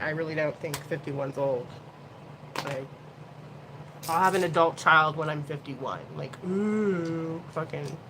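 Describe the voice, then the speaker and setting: A woman's voice: low-pitched, fairly bright tone, a little hiss or hum, poor audio. A woman in her late thirties chatting casually while doing her makeup, rambling and swearing freely. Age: 30s. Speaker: beauty livestream host.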